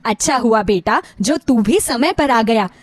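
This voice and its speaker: A woman voicing an older, bitter mother-in-law in a story, with a sharp, nagging manner. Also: on the high side, lively intonation, a little slow, no room sound. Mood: bitter, accusing.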